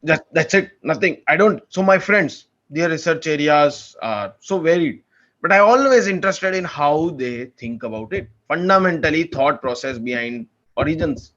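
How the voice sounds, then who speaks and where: A young man talks casually as a podcast guest. He sounds earnest and keen, his voice sits a bit high for a man, and he often restarts his sentences as he goes. The audio is a little muffled. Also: expressive, moderate pace, fairly bright tone. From India. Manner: earnest, enthusiastic, rambling with restarts. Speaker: podcast guest, PhD student. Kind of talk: casual conversational interview.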